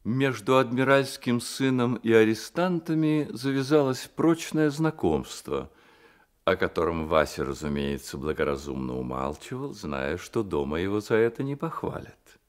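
A man narrating a literary radio play with expressive, deliberate storytelling phrasing. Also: on the low side.

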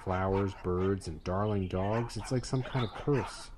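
A man's voice: low-pitched, unhurried. A man voicing a lonely, earnest young cottage girl in a storybook, with plaintive, dramatic delivery. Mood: defeated. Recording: clearly noisy ambient sound effects behind.

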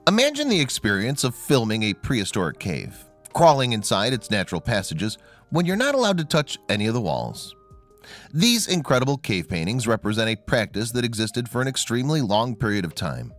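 A man reading a scripted documentary narration in a measured, expressive way. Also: medium pitch. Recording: voice-over with faint music behind.

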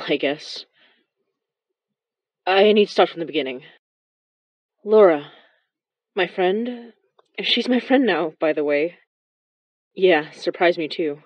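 A woman's voice: lowish voice, no echo. Woman acting a reflective young immigrant woman leaving a wistful message, audio drama, close and a bit lo-fi. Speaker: narrator and lead of a horror fiction podcast.

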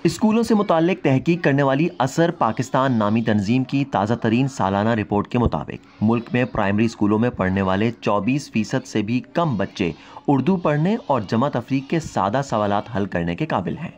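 A man reads a news report as a voice-over, in a mid-range voice. His delivery is measured and formal. A faint hiss sits under the recording. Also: medium pitch, lively intonation, a little mellow, highs cut off. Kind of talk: scripted news narration.

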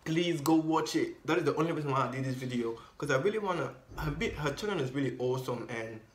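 A man's voice: a little high, fast, a little hiss or hum. Young man chatting to camera. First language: Bafang. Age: young adult. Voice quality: relaxed, loose, chatty delivery. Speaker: language video creator. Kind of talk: casual vlog talk to camera. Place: small room indoors. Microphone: camera at desk distance. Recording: roomy echoey room.

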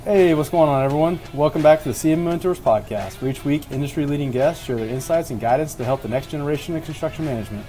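A man's voice: on the high side, quick pace, hardly any pauses, warm tone, faint music behind, rough recording. A man in his thirties hosting a podcast over a video call, easygoing and loose with fillers. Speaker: podcast host. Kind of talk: casual interview hosting.

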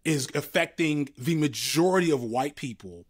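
A man's voice: on the high side, expressive, normal speed, slightly rough. A man in his thirties on a headset video call, thoughtful and rambling with many fillers. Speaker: panel guest. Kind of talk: casual political chat. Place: home room, green wall. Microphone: headset, laptop video call.

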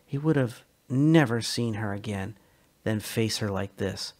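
A man narrating a science fiction short story as a dramatic reading, measured and expressive. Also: fairly low pitch.

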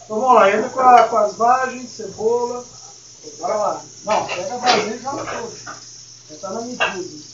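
A man's voice: sits high, moderate pace, far from the mic. A middle-aged man with a Brazilian accent, a chef giving casual, slangy, hands-on cooking instruction to an apprentice. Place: busy restaurant kitchen, indoors. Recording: echoey, noisy, muffled kitchen pickup from afar. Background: loud clatter of dishes and pans.

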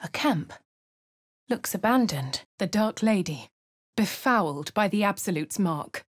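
A woman with a posh southern English accent playing a wry, composed female adventurer in a fantasy game, narrating in a crisp, clipped way with dry asides. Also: medium pitch, lively intonation, unhurried, no echo. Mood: grim. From Baldur's Gate. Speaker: player character. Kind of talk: video game voice lines.

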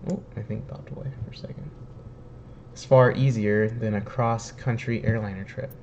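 Slightly hoarse young man streaming a game. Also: fairly low pitch, fairly even intonation, normal speed, darkish tone, muffled recording, a little hiss or hum. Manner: chatty, joking, laughs at himself. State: slightly hoarse, says he is sick and losing his voice. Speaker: streamer playing a video game. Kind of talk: casual let's-play commentary, reading in-game text aloud. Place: home room, webcam in corner.

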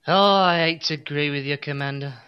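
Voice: medium pitch, a little fast, clean tone, dull audio, natural voice. An actor playing a weary, self-pitying evil-genius doctor in a comedy audio drama, grumbling with resigned melodrama.

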